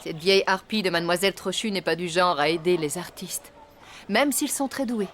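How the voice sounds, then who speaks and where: An actress playing an exacting but kindly ballet teacher in a cartoon, speaking in a formal, precise, theatrical way. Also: female voice, lowish voice, brisk, fairly bright tone, a little hiss or hum, lightly acted. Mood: gentle, encouraging. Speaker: voice actor. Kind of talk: French animated series dubbing.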